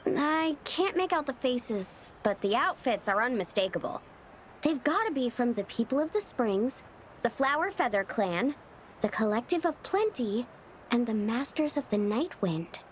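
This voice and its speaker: A woman voicing a small, excitable, easily scared fairy-like companion girl in an anime-style video game, with a childlike manner. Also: fairly high pitch, measured pace, slight background noise. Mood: curious. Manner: childlike, speaks of herself in third person.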